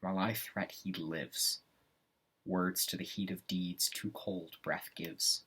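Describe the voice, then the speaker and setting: Casting: male performer as an ambitious, haunted Shakespearean noble, very deep and rough-edged, weighty theatrical delivery for a stage monologue. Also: fairly even intonation, bright, forceful tone, exaggerated voice. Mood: dark, grim. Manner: theatrical, deliberate Shakespearean soliloquy with weighted pauses.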